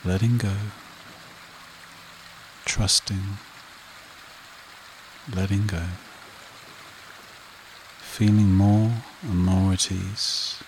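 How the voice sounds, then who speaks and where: A man leading a guided meditation and hypnosis in a soothing, repetitive manner with short phrases and long pauses. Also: sits low, slight background noise. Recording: rain and running water behind voice. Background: steady rain and stream sounds.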